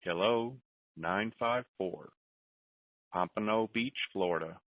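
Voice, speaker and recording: A man's voice: lowish voice, takes it slowly, dry recording. Folksy guy running a call-in, sounds far from the mic on a thin phone line. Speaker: conference call host. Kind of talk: informal call-in talk with advice. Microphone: telephone conference line.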